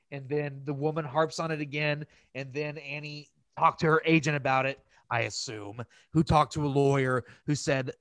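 A man's voice: a little high, a bit bright, no echo. A man hosting a casual podcast, ranting in an exasperated, opinionated and profane way. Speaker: podcast host.

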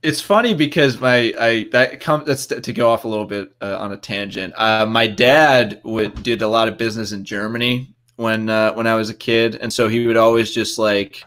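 A man's voice: on the low side, moderate pace, few pauses. A young man appearing as a podcast guest who tells stories casually and with energy, restarting his sentences and acting out quotes. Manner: animated, lots of restarts and quoted voices. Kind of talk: casual anecdotal storytelling.

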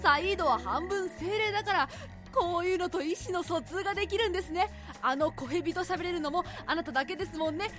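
A woman's voice: extremely high pitch, fairly quick, hardly any pauses. A woman acting a timid, bookish young male scholar in a radio drama, polite and plaintive in speech. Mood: analytical, curious. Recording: music behind the voice.

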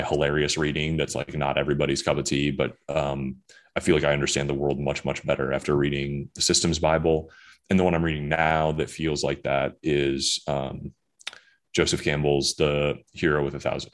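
A man's voice: rumbling low, flat delivery, normal speed. A young man talking casually as a podcast guest, rambling with many fillers and restarts. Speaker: guest author.

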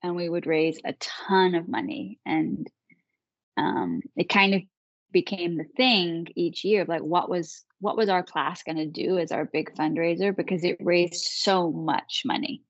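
Gravelly-voiced English woman, dry and muffled. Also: lowish voice, steady tone, unhurried, no room sound. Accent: English, Manchester. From England. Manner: storytelling, laughs easily. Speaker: guest. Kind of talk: interview conversation.

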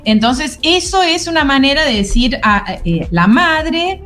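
A woman's voice: sits high, lively intonation, measured pace, a bit bright, faint music behind. A woman teaching an English class on the radio, explaining patiently and often checking in with a questioning "¿no?".

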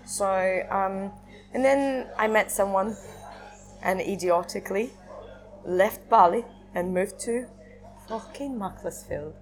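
A woman in her thirties, a native Russian speaker with a Russian accent touched by northern English, chatting candidly as a podcast guest and poking fun at herself. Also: mid-range voice. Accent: Russian, with some northern English. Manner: candid, self-mocking, with halting restarts. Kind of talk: casual chat.

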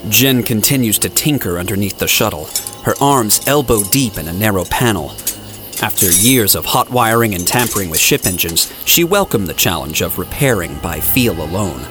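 A man's voice: on the low side, animated, moderate pace, over music. A man narrating an audio drama in a scripted, dramatic storytelling style.

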